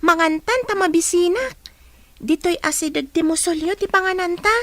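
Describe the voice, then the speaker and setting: Radio drama part: inquisitive younger sibling, small child, tiny very high voice. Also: female voice, normal speed, a bit bright, no room sound. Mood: curious, hungry. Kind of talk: Ilocano radio drama acting.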